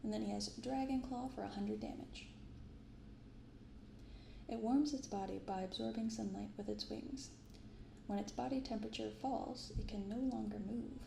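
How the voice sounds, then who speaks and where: A woman speaking softly in an ASMR style, giving gentle, unhurried casual commentary as host of a card-opening video. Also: on the high side, fairly even intonation, gravelly, reverberant, a little hiss or hum. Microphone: binaural microphone.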